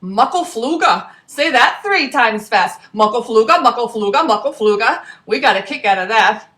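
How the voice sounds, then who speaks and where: A woman narrating as a brash young male pigeon adventurer, slangy and energetic, in a storybook reading. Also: a little high, unhurried. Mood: cheerful.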